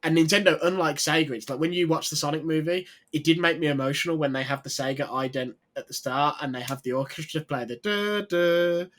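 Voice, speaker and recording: A man's voice: a little high, brisk, few pauses, a bit bright. A man chatting eagerly on a video call, rambling and trailing off as he talks. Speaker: games journalist, panelist. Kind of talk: casual video call chat.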